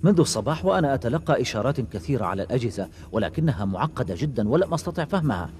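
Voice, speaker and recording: An actor playing a calm, earnest male warrior in a dubbed animated action series, explaining himself seriously. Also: medium pitch, very fast, natural voice.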